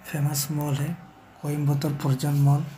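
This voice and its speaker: A man's voice: a little high, monotone, moderate pace, mellow, a little hiss or hum. A man giving a casual walking tour of a shopping mall, filming on his phone and repeatedly calling the viewers friends. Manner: repetitive, addresses viewers as friend. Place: outdoors and inside a large shopping mall.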